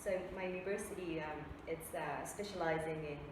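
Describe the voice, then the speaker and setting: A woman's voice: low voice, fairly even intonation, a little fast. A Japanese woman with a Japanese accent, a native Japanese speaker answering interview questions in English, casual and hesitant with many ums and restarts. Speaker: guest. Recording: very echoey and distant with room noise.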